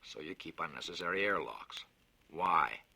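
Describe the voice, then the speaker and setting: A man acts out a skeptical, prickly reporter in a science fiction audiobook. The voice is very deep yet sharp-edged, and he puts his questions bluntly, as a challenge. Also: lively intonation, moderate pace, gravelly, husky, heavily acted. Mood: impatient. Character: skeptical, prickly male journalist visiting the moon.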